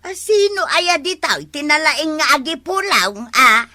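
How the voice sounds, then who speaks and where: Exasperated village woman, loud, high n swoopy, radio comedy drama. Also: animated, exaggerated voice. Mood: questioning. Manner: drawn-out, exclamatory.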